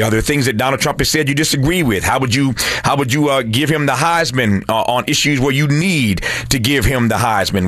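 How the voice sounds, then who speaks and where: A man's voice: medium pitch, fairly bright tone, no room sound. A man hosting a talk radio show, genial and chatty, with many fillers as he talks.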